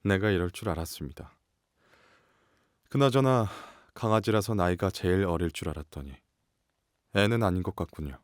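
Man playing a low-voiced, formal older brother. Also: no room sound, studio quality, lightly acted. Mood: wry. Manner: formal, wry, measured. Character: formal, wryly resigned older-brother canine shapeshifter. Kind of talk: scripted Korean audio drama acting.

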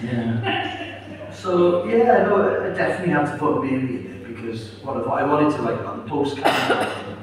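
A man's voice: medium pitch, lively intonation, moderate pace. A Welsh man being interviewed on stage at a live event, chatty and telling stories with asides. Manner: chatty, warm, storytelling with asides. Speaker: interviewed author and radio presenter. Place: town hall at a live event. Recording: echoey hall from far off, faint noise.

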